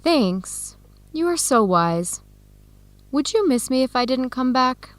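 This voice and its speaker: Earnest young woman for educational radio play, expressive, slightly high voice, no room sound. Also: slow pace, no echo, exaggerated voice. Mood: grateful, tender. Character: Helen, young American woman, earnest and open. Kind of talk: scripted educational radio drama.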